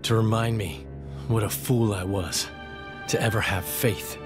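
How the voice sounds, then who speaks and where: An actor playing a weary, bitter warrior with grim resolve in a video game cutscene, speaking gravely. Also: male voice, fairly low pitch, unhurried, close to an everyday voice. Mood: bitter, brooding. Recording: loud ominous music behind.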